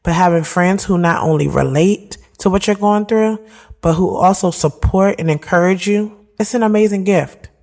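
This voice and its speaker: A woman's voice: fairly low pitch, normal speed, natural voice. A woman acting as a reflective thirty-year-old entrepreneur who tells her own story in a confiding, conversational, slangy way. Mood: fond, reflective. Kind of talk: first-person scripted audio drama narration. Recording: loud music bed behind the voice.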